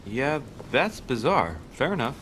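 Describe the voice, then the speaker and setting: A man's voice: mid-range voice, big pitch swings, a little slow, a bit bright, a little hiss or hum. A man playing a reserved confidant in a period video game, speaking in an understated, matter-of-fact way. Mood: pensive.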